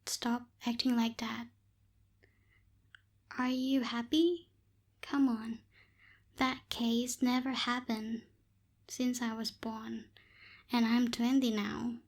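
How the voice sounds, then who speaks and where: Slow, high-voiced young witch played by a woman. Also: flat delivery. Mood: protective, matter-of-fact. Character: young tsundere witch, bossy but secretly caring. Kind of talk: ASMR roleplay voice acting. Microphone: close binaural microphone.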